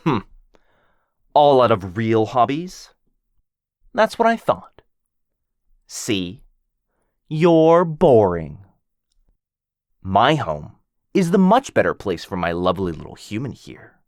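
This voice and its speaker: A man's voice: mid-range voice, huge pitch swings, slow pace, long pauses, no echo, clean studio sound. A man voicing a cocky, jealous werewolf who mocks his rival and teases with a lot of bounce. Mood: boastful. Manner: cocky, mocking, bouncy teasing. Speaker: voice actor in boyfriend roleplay. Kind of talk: ASMR roleplay acting.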